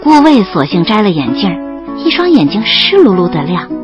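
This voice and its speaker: A young woman acting as a lively student in love in an audio drama, telling her story and playing the dialogue. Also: medium pitch, moderate pace, natural voice. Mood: wry, fond narration. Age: young adult. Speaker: narrator and lead actress. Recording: slightly dull audio over background music. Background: music.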